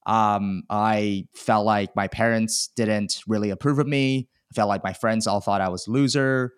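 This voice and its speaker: A man's voice: fairly low pitch, steady tone, moderate pace, clear, resonant tone, no room sound, clean studio sound. A man in his thirties from China, telling his life story candidly as a podcast guest, self-deprecating and chatty. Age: 30s. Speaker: guest, e-commerce seller. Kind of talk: casual podcast interview.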